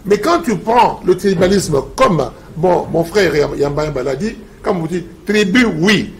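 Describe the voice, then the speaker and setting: Man, professor guest, lectures forcefully with a high, gravelly voice. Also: lively intonation, a little slow, a little hiss or hum. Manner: emphatic, lecturing, repeats slogans. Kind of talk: TV debate.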